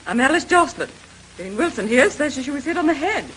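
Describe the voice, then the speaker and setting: A woman's voice: high voice, big pitch swings, fairly quick, a bit bright. An actress playing a curt, haughty, skeptical married woman in a 1930s-style radio mystery. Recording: noisy vintage radio transcription.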